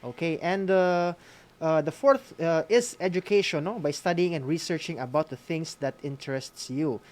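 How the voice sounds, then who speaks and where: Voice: medium pitch, normal speed, a little mellow. Filipino radio co-host, hesitant and conversational. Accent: Filipino (Visayan). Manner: hesitant phrasing, frequent "no?" tags, mixes in Cebuano. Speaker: co-host of a school-on-the-air radio program.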